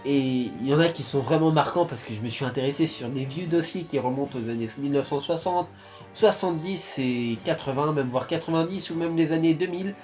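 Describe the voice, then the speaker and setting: A man's voice: fairly high pitch, a little fast, darkish tone, soft background music. A young man from France talking casually to his viewers, rambling with many restarts and fillers. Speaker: YouTuber. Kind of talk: casual vlog talk.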